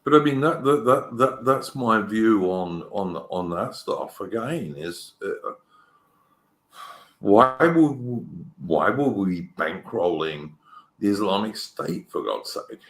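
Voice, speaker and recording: A man's voice: on the low side, lively intonation, slow pace. A man co-hosting a casual political chat, opinionated and rambling, often restarting his sentences. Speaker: podcast co-host. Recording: echoey room.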